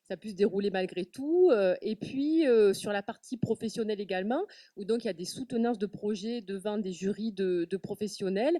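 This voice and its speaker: A woman's voice: mid-range voice, a little fast, warm tone, no room sound, recorded from a distance. A woman speaking on a festival panel, talking with many fillers and repeated words. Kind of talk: panel talk.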